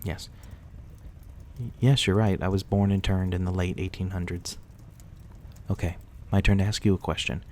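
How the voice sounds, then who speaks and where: Casting: male actor as a gentle, courteous vampire host for ASMR roleplay, low and mellow, soft old-fashioned politeness. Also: steady tone, moderate pace, pauses often, a little hiss or hum, close to an everyday voice. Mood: wryly amused, then reassuring. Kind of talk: intimate ASMR roleplay acting.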